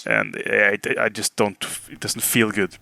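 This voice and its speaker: A man's voice: fairly low pitch, steady tone, unhurried, creaky, pushed and bright, dry recording. A man from Norway with a Norwegian accent, a native Norwegian speaker hosting a casual podcast in English, hesitantly searching for words. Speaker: podcast host.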